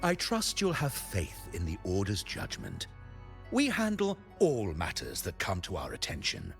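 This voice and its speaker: An actor playing a pious, lofty high-ranking cleric in a video game, formal, measured and preachy. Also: male voice, huge pitch swings, gravelly, exaggerated voice. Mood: grave, assured. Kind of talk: theatrical video game voice acting. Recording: music behind the voice.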